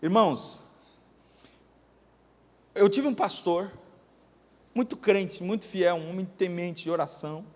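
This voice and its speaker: A Brazilian pastor preaches in a high, bright voice, his words carried by the long ring of a church hall. He tells stories with drama, rising loud and then dropping to a hush as he acts out the voices of those he quotes. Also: slow pace, pauses often. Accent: Brazilian Portuguese. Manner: dramatic storytelling, acting out quoted voices, swinging from loud to hushed. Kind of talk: sermon.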